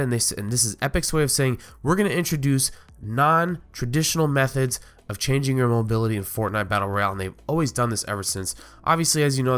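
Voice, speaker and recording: A man's voice: mid-range voice, fairly bright tone. A man hosting a casual gaming commentary video, sounding nasal from a cold and rambling with lots of fillers and restarts. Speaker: gaming YouTuber host.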